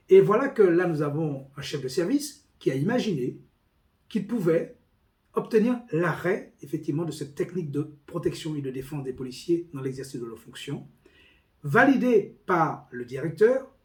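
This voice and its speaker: A man speaking as a police union representative, giving a formal, deliberate, emphatic report on a meeting. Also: a little high, a little mellow. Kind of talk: spoken meeting report with quoted documents.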